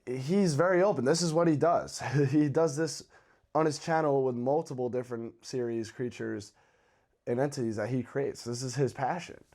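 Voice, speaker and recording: A man's voice: medium pitch, normal speed, a little mellow. A man speaking as the host of a horror video channel, giving casual commentary in a conversational, informal way. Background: background music with guitar.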